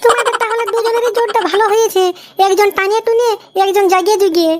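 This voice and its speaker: A woman voicing a cheeky, quick-witted young village bride-to-be in a cartoon, answering back smugly. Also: very high voice, background noise. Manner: cheeky, explanatory back-talk. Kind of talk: cartoon comedy dialogue.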